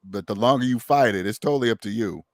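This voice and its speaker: A man's voice: lowish voice, fast talker, no echo, studio quality. A man hosting a casual conversation, speaking emphatically and preachily, repeating phrases for stress. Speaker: podcast host.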